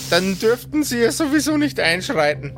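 A man playing an officious, stammering supervisor on a superhero agency hotline, speaking formally and haltingly. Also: very high voice, very animated, voice pushed up, full character voice. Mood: stern. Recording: music behind the voice.

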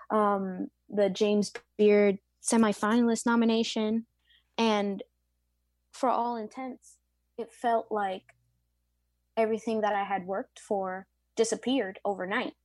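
A woman's voice: medium pitch, darkish tone, no echo. A woman in her late twenties, a pastry chef being interviewed, speaking earnestly and reflectively with frequent fillers. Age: late 20s. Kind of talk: interview conversation.

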